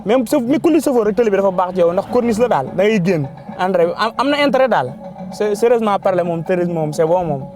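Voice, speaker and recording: A man with a Senegalese accent speaks casually as an interviewee. His voice is high for a man, he switches between languages as he goes, and music plays clearly behind him. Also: expressive, fast, darkish tone. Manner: mixes Wolof and French. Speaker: taxi driver interviewee.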